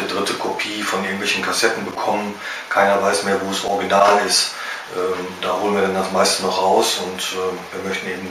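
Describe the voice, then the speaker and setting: A middle-aged man with a Ruhr German accent speaks in a somewhat low voice, pausing often on filler sounds. He is picked up from a few feet away, so his voice carries strong room echo. A steady hiss of noise runs underneath. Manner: halting, many filler sounds. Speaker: small business owner. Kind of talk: trade fair interview statement. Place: indoor room with shelves. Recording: roomy echo from a distance.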